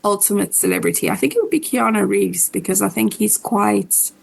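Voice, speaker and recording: South african lady in her forties, bit of vocal fry, chatty with lots of ums. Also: mid-range voice, huge pitch swings, a little fast, slight background noise. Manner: chatty, laughs easily, many ums. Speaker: co-host. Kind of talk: casual podcast chat.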